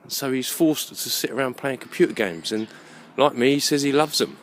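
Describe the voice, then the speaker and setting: Man rambling over clear background noise. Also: medium pitch, animated, fairly quick. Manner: opinionated, reflective, repeats himself, swears freely. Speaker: video blogger with his own channel. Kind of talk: rambling unscripted monologue to camera. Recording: clear background noise, rough amateur recording.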